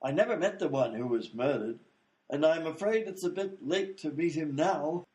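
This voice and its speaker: A man playing a genial, flustered, old-fashioned gentleman with a polite, fussy manner in a comedic radio play. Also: a little high, moderate pace, close to an everyday voice.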